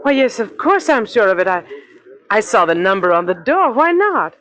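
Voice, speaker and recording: An actress playing an earnest, insistent young newlywed passenger in a 1940s radio drama, refined and emphatic in delivery. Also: female voice, medium pitch, very animated, normal speed, fairly bright tone, dry recording. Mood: indignant.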